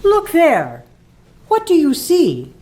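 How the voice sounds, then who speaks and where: A woman playing a gentle, solemn spirit guide in a radio play, coaxing and theatrical. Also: high-pitched, huge pitch swings, slow, full character voice. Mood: gently probing. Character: gentle, solemn guiding spirit of times past. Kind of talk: stage-style radio play acting.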